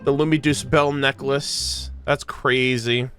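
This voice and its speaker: A video game streamer giving casual, slangy, expressive reaction commentary with some swearing over game music. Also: medium pitch, slow.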